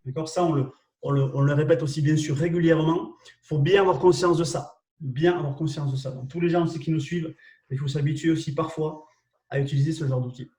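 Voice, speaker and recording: A man with a southwest French accent walks through tools in a live online session, hesitant and repetitive, often asking whether people are following. His voice sits fairly high but sounds mellow and soft-edged. He is caught by a mic set back from him in an echoey room. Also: fairly even intonation, a little fast, distant mic. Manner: hesitant and repetitive, checks in with listeners. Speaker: co-host presenting marketing tools. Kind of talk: live webinar explanation.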